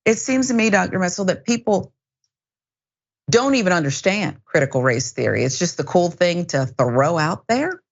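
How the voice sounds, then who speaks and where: An American woman presents and discusses the news as a show host. Her voice sits at a medium pitch, and she phrases things deliberately and with emphasis. The audio is somewhat dull and band-limited.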